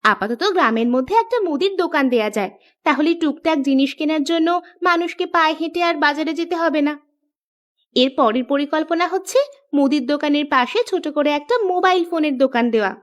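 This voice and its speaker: A woman voicing a sharp-tongued, sensible young village wife in a cartoon, with a bossy, practical manner. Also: sits high. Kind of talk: cartoon dialogue.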